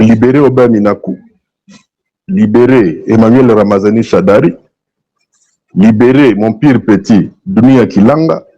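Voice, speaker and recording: Dark-voiced Congolese man, emphatic talker. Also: medium pitch, lo-fi sound. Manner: emphatic, repeats phrases, switches between French and Lingala. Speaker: political guest on a talk show. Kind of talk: opinionated political discussion.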